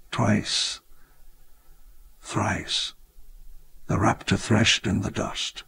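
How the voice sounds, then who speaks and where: An older actor playing an elderly, wistful dinosaur-park founder, narrating his memoir in a measured, solemn way. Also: on the low side, gravelly, breathy edge, heavily acted. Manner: measured, reflective, grandly solemn. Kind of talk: scripted memoir narration for a game.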